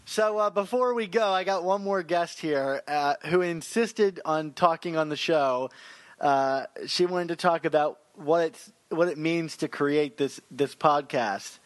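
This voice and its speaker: A man's voice: a little high, measured pace, no room sound. A man hosting a podcast in casual, easygoing chat. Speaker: podcast host.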